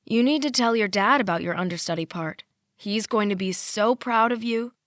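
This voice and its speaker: Woman reading as a soft, reassuring mother. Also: mid-range voice, normal speed, no room sound, studio quality, lightly acted. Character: sweet, reassuring, gentle middle-aged mother.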